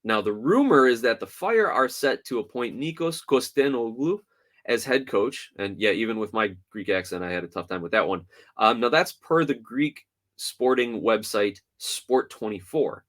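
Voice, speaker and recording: A man hosting a soccer podcast solo, talking through results and rumors in an animated, opinionated way. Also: moderate pace. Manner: animated, opinionated, swings from loud to low.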